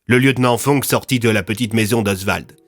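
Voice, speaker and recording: A man's voice: fairly low pitch, a little fast. A man narrating an audio fiction series in a scripted, dramatic storytelling style.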